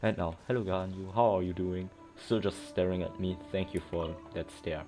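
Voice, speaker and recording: Deep-voiced man rambling over gameplay. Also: steady tone, normal speed. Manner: rambling, thinking aloud. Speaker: gameplay commentator. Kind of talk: casual gameplay commentary. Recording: game music loud behind. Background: game music.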